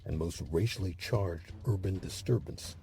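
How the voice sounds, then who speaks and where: A man narrating scripted history as a documentary host, with measured, emphatic storytelling. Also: deep voice, dark tone, faint music behind.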